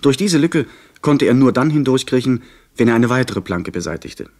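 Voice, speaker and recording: An actor playing an earnest young gentleman who narrates his pirate adventure in a radio play, in measured, literary sentences. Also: mid-range voice, expressive, close to an everyday voice. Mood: tense, disappointed.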